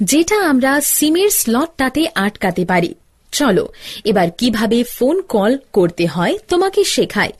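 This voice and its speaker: Woman acting as older-sister tutor, high voice, very lively intonation, scripted step-by-step cartoon lesson narration. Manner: instructional, step-by-step. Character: older-sister teacher explaining gadgets to a child. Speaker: instructor.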